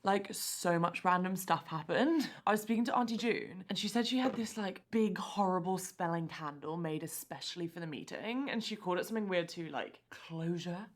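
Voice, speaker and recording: An actress playing a casual, self-absorbed young livestreamer with a chatty, slangy delivery. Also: female voice, lowish voice, moderate pace, few pauses, lightly acted. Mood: gossipy.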